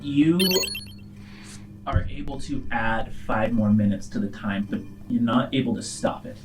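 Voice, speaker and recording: A man's voice: medium pitch, measured pace, noisy background. A man narrating a tabletop role-playing game as the game master.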